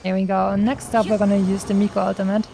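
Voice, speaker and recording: A woman's voice: mid-range voice, level tone, quick pace, creaky, dark tone. A woman streamer giving casual, chatty gameplay commentary, rambling and thinking aloud as she goes.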